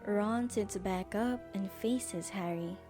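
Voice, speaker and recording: A woman's voice: mid-range voice, takes it slowly, dark tone, soft background music. A woman reading scripted narration as the narrator of an audio drama.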